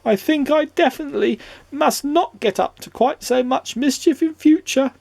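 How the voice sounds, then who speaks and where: A man voicing a small, mischievous male prankster in a children's storybook, with a high, giggly, impish delivery. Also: moderate pace, creaky, a little mellow. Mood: dismayed.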